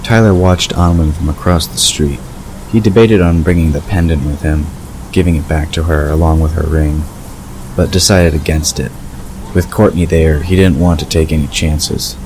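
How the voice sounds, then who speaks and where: A man narrating a scripted story in a measured storytelling delivery. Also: extremely low pitch, fairly even intonation, a little mellow, rough recording. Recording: clear background ambience noise.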